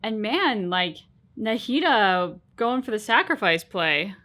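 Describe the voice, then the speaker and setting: A woman's voice: mid-range voice, very animated, takes it slowly, pushed and bright. A woman co-hosting a game reaction video, giving her thoughts in casual, conversational commentary.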